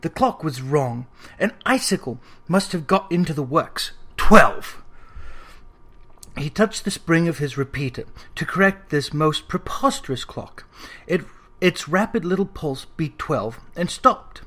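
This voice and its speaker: A man narrating a classic Victorian ghost story as an audiobook, in a theatrical, expressive storytelling manner. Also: on the high side, measured pace.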